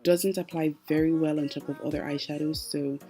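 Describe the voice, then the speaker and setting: Low, mellow-voiced woman narrating makeup. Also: steady tone, fairly quick, faint music behind. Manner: chatty, explains each step. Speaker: makeup artist. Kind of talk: voice-over makeup tutorial.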